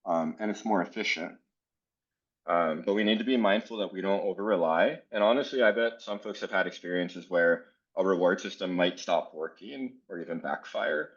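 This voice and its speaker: Low-voiced man leading a teacher workshop. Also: moderate pace, dull audio. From South Florida. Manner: casual, many fillers, earnest. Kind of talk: conference workshop presentation.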